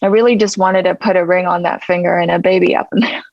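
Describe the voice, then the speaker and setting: A woman's voice: lowish voice, fairly even intonation, fast talker, gravelly, no echo. Woman playing a brash rapper, dull dry recording. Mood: wistful. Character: brash male gangster rapper, defensive and streetwise. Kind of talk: table read of TV script. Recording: dull, muffled lo-fi sound.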